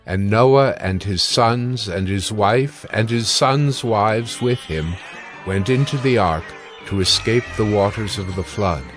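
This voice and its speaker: A man narrating dramatized scripture from a script in a solemn, measured and formal manner. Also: on the low side. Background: music.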